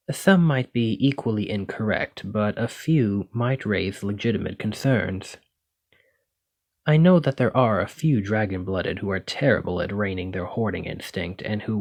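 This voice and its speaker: A man playing a gentle, bookish dragon-blooded librarian and devoted boyfriend in an ASMR roleplay, speaking in an earnest, reassuring way. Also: on the low side, vocal fry, full character voice.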